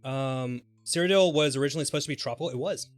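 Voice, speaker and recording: A man's voice: mid-range voice, quick pace. A man chatting casually and informally on a live gaming stream, speculating in a rambling way. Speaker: game livestreamer. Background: background music.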